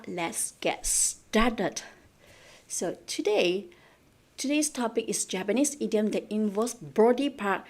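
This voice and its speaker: A woman from Malaysia teaches a live online Japanese class, speaking into a computer mic. She repeats key words and poses questions to her viewers, moving between English and Japanese. Her voice sits at a middle pitch for a woman. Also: a little mellow.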